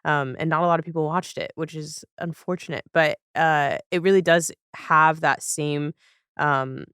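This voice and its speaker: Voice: mid-range voice, steady tone, moderate pace, a bit bright, clear, resonant tone, no room sound, studio quality. A podcast host interviewing in a casual, conversational way, with agreeable, rambling phrasing.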